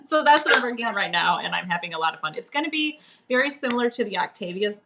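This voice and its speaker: A woman author talks informally as a guest on a chat show. Her delivery is lively and varied in pitch, and she speaks with open enthusiasm in everyday language. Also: fast talker, vocal fry, noisy background. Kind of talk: casual chat.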